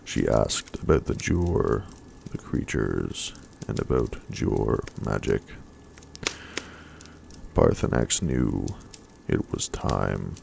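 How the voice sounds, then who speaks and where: A man reading a story aloud as soft-spoken ASMR, in a breathy, hushed voice that often drops to a whisper. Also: a bass voice, lively intonation, slow pace, slight background noise.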